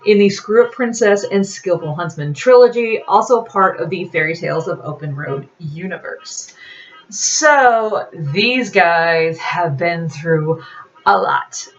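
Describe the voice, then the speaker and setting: A woman's voice: medium pitch, a bit bright, muffled recording. A woman reading aloud from a novel in an audiobook-like storytelling style, as an author presenting the work.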